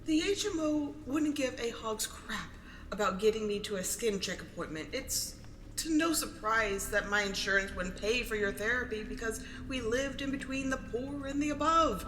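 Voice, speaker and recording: A woman's voice: on the high side, animated, moderate pace, fairly bright tone. A woman playing a defensive, overburdened single mother in an audio drama, pleading and emphatic. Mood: weary, distressed. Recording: roomy echo, faint noise.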